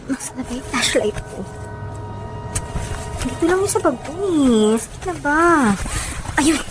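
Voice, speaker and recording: A woman's voice: high voice, animated, measured pace, over music, poor audio. An actress playing a nervous, chatty young woman doctor in a radio drama, exclaiming and talking to herself. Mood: nervous, fretting. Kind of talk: Filipino radio drama acting.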